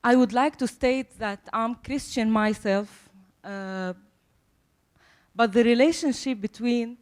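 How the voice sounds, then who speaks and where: A young woman from Syria gives an earnest, prepared panel speech as a refugee musician. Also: on the high side. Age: young adult.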